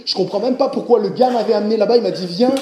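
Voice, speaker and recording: A man preaching a sermon, speaking directly to his congregation in an animated way. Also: high voice, rapid-fire, reverberant, slight background noise. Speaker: pastor.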